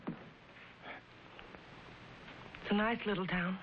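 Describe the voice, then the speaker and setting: An actress playing a middle-aged American mother in a 1950s television drama, speaking in a halting, wistful way. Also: medium pitch, fairly even intonation, slight background noise, lightly acted. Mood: hesitant, pleading. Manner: halting, hesitant. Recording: dull vintage TV soundtrack, orchestral music behind.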